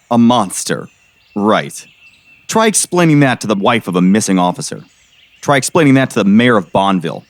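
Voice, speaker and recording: A skeptical small-town sheriff in an audio drama, acted by a man, middle-aged and gruff. The voice sits low and swings expressively through exasperated, pressing questions, recorded close and dry. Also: big pitch swings, moderate pace, no echo. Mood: exasperated, sarcastic. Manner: exasperated, sarcastic, pressing interrogator.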